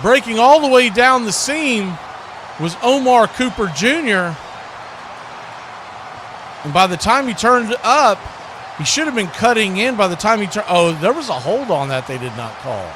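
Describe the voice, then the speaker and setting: A male play-by-play announcer calls live football in a high-pitched voice. Clear background noise sits behind his commentary as he follows the game snap by snap. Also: sing-song, measured pace, long pauses.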